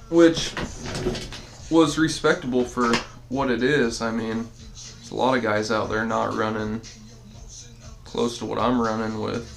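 Gravelly laid-back man rambling. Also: mid-range voice. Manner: rambling, many fillers and restarts; laid-back, self-deprecating. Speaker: mini bike builder. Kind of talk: casual walkaround vlog. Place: home workshop room, indoors.

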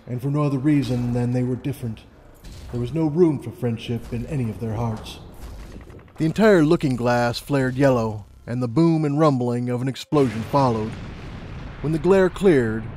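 A man's voice: medium pitch, moderate pace, mellow, a little hiss or hum. A man reading scripted story narration as an audiobook narrator. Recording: long reverb, music underneath.

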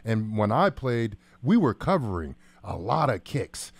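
A man's voice: fairly low pitch, big pitch swings, a little slow, gravelly, breathy edge, no echo. An American man in his thirties, a former player co-hosting casual sports talk on a close studio microphone. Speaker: co-host, former football player. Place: small indoor studio. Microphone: close broadcast boom microphone.

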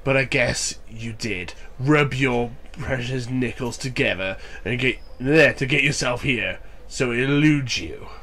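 A man's voice: mid-range voice, a little slow. A young man with a cold, sounding nasal, casually commenting on a wrestling game with jokes and swearing, reading lines aloud. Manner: joking, swearing, bursts into loud mock cheers. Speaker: gaming YouTuber.

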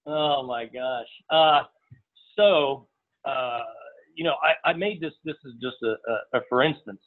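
A middle-aged man, a veteran restaurant chef, answering interview questions in a halting way with many fillers and repeats. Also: on the high side. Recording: tinny phone-like audio.

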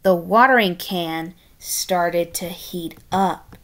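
A woman reading a children's picture book aloud expressively and deliberately, with chatty asides of her own. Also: lowish voice. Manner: expressive, deliberate, adds playful commentary. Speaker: storybook reader.

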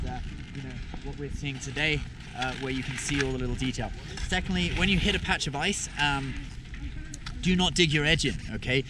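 Man teaching skiing over loud wind. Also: on the high side. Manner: relaxed, talks while skiing, fillers and "okay?" checks. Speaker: ski instructor. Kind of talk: instructional vlog. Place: outdoors on a ski slope. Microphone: action camera on a pole. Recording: loud wind noise outdoors.

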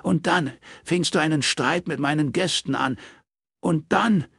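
A man's voice: on the high side, measured pace, vocal fry, a bit bright, slightly rough, no echo, clean studio sound, pitched above the performer's own voice, heavily acted. A man voicing a furious, hurt young woman who stammers and rants in an audiobook. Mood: hurt, tearful anger. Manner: agitated, stammering over words in anger.

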